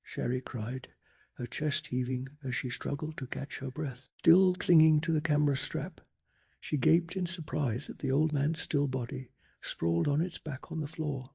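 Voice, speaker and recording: A man narrating a bedtime story in a soft-spoken ASMR style, with a breathy, hushed and dramatic delivery. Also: fairly high pitch, steady tone, moderate pace.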